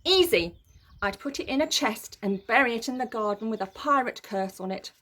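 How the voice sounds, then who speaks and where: A woman's voice: on the high side, lively intonation, normal speed, fairly bright tone. A woman voicing a grumpy, scheming older brother, bossy and scornful, in a children's storybook.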